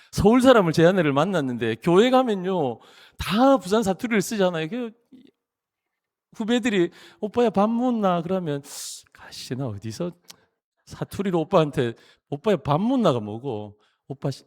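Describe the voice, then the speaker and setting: A middle-aged man from Busan, South Korea, preaching a sermon as pastor in a church sanctuary, in an animated, jokey way with emphatic rising phrases. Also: a little high. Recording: long reverb of a large church hall.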